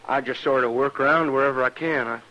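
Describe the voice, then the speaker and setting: An actor playing a timid, apologetic former cowboy with a hesitant, stammering, folksy manner in an old-time radio western. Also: male voice, medium pitch, steady tone, fast, sharp tone, dull audio, natural voice. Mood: meek.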